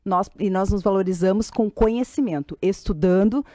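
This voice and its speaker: A woman's voice: medium pitch, normal speed, dark tone, no echo. A woman, a history teacher, answering interview questions fluently and firmly.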